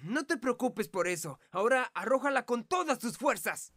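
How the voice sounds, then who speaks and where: A woman's voice: on the high side, very animated, normal speed, fairly bright tone, dry recording, studio quality. A woman voicing a kind, earnest teenage boy fighter in an anime fan dub, explaining things like a patient older brother.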